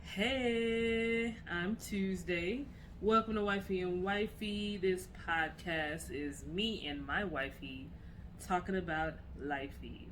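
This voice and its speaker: A young woman and mother co-hosting a casual podcast with her wife, with dry, unhurried jokes. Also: lowish voice, fairly bright tone, a little hiss or hum, low-quality recording. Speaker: podcast co-host. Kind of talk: casual chat.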